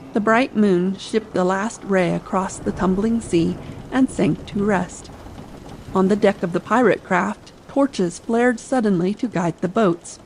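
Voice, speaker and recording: A woman's voice: medium pitch, creaky, a little mellow, rough recording. A woman narrating a dramatic audiobook reading meant for late-night listening, over sea ambience. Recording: faint ambient sea noise behind.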